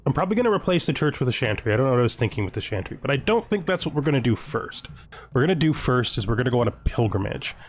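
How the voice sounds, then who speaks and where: A man giving casual video game commentary, thinking aloud as he deliberates his choices. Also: medium pitch, big pitch swings. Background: music.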